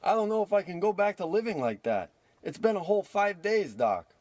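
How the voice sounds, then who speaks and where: A man's voice: high-pitched, sing-song, a little fast, darkish tone, no room sound. A man playing a hapless small-time crook, a self-pitying tough guy, with melodramatic wisecracks in a parody of old radio drama. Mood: earnest.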